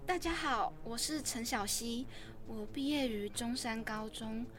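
A woman's voice: a little high, a little slow, a bit bright. A woman playing an insecure, defensive college freshman in a radio drama, with music behind her. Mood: timid.

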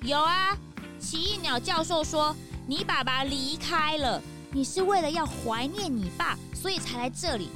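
A woman playing an eager, excitable police sidekick in a children's audio drama, speaking in a bouncy, exclamatory way. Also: high-pitched, normal speed, over music.

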